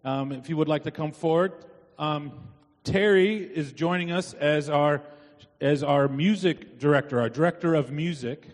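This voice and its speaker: A middle-aged man giving informal, halting announcements to a congregation as a church committee chair. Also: fairly high pitch. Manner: halting, long-winded. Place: inside a church. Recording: church hall with long reverb.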